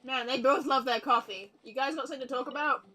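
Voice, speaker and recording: A woman's voice: high-pitched, steady tone, quick pace, bright, forceful tone. A woman livestreamer giving casual, chatty game commentary, often repeating her phrases.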